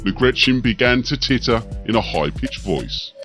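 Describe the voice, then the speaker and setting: A man's voice: medium pitch, animated, moderate pace, a bit bright, faint music behind. A man narrating a scripted fantasy story dramatically as an audiobook reader.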